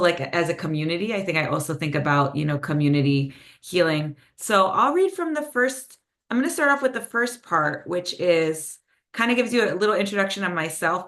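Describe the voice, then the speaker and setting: Lowish-voiced woman reading her poetry. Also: fairly bright tone. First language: Spanish. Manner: chatty and hesitant between poems, full of "um" and "like"; slower, measured delivery with Spanish phrases when reading poems. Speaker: poet reading her own work. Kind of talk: poetry reading with casual spoken introductions. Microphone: video call.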